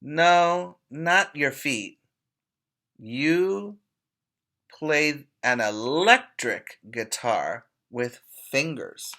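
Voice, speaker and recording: Guy teacher reading to little kids, real slow and emphatic, bright forceful voice. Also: fairly high pitch, expressive. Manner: deliberate, emphatic, pausing to ask questions. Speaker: preschool teacher. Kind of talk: read-aloud lesson for young children.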